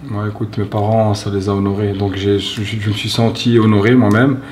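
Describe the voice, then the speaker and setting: A man speaking as an interview guest, thoughtful and halting, with many pauses and restarts. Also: lowish voice, flat delivery, darkish tone, a little hiss or hum. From Belgium. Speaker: guest, retired fighter. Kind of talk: reflective interview talk.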